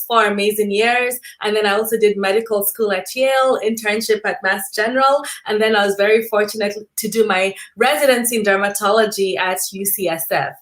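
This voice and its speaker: High-pitched woman from Kenya, conversational. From Kenya, Nairobi. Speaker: interview guest, dermatologist. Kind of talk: conversational personal storytelling in an interview.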